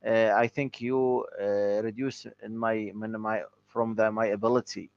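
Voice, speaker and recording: A man whose first language is Arabic, speaking hesitantly with many pauses and restarts as a learner in a tutoring session. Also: lowish voice, level tone, gravelly, dry recording, muffled recording.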